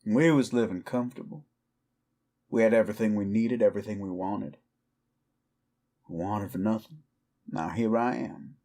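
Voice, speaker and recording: An actor playing a weary, defiant cowboy outlaw werewolf in an audio roleplay, with a folksy, brooding American Southern or Western drawl. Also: male voice, on the low side, a little mellow. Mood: brooding, regretful. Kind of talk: slow dramatic roleplay monologue to the listener.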